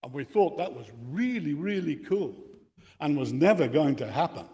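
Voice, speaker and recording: A man's voice: a little high, animated, moderate pace, warm tone. An older man with an Australian accent giving a technical lecture to radio enthusiasts. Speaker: amateur radio club speaker. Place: indoor meeting room with equipment on a bench. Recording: reverberant hall or meeting room.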